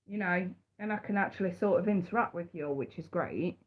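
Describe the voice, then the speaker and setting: A woman runs a casual live tarot stream, stuffy and congested from a cold. Her voice sits slightly low for a woman. She chats warmly to her viewers, repeats herself as she goes and calls them sweetheart. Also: fairly quick. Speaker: tarot reader. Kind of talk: live-stream chat and reading.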